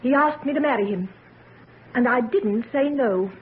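A woman's voice: on the high side, normal speed, close to an everyday voice. An actress playing a proud, wounded young society woman in an old-time radio drama. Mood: defiant. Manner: wounded, proud, clipped answers. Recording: noisy, narrow-band vintage radio recording.

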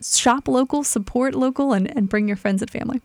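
A woman's voice: fairly high pitch, brisk, a little mellow, no echo. Upbeat woman promoting local food businesses. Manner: upbeat, promotional, frequent fillers. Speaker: kitchen director, guest. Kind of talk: interview answers.

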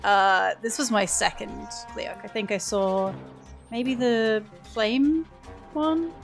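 A woman's voice: fairly high pitch, slow, gravelly, soft background music. A woman chatting casually as a podcast guest about a video game, playful and self-deprecating, often laughing.

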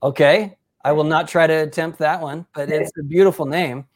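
A man's voice: fairly high pitch, steady tone, moderate pace. A man hosting a casual sports interview, upbeat and effusive in his praise of his guest. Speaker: interview host.

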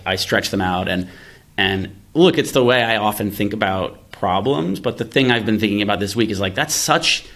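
Low-pitched man talking tech casually. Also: normal speed, fairly bright tone. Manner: explanatory, thinking aloud, rhetorical questions. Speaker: podcast host, venture investor. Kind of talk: casual conversational tech discussion.

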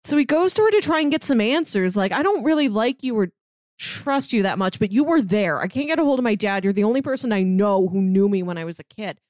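A woman co-hosting a casual book discussion, telling things in a halting, conversational way with acted-out quotes. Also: medium pitch, lively intonation, darkish tone, no echo. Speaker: podcast co-host.

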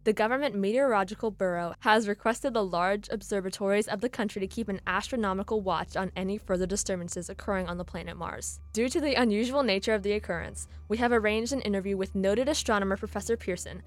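A woman playing a composed radio news bulletin announcer in a radio drama, formal and measured in delivery. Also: medium pitch, lightly acted.

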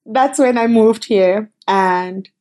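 A middle-aged woman from Cameroon, a company founder telling her story as a guest in an interview, lively and quick in bursts. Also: mid-range voice. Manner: animated, storytelling, quick bursts, laughs often. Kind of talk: conversational interview.

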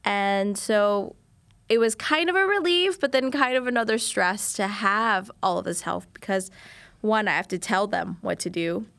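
A woman's voice: mid-range voice, moderate pace, vocal fry, fairly bright tone, no echo. A young woman from New Orleans, a chef, answering interview questions candidly and laughing easily. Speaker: chef, cooking competition contestant.

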